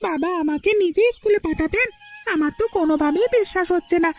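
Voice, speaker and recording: A woman's voice: very high-pitched, fast. A woman voicing an earnest young village schoolboy in a cartoon, polite and hopeful. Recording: soft music underneath.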